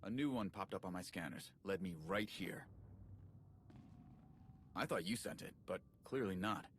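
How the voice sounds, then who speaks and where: Game ally: plain-talking male scout, lowish pitch, casual delivery, slight background rumble. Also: moderate pace, a bit bright. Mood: earnest briefing. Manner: casual, conversational. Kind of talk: video game cutscene dialogue.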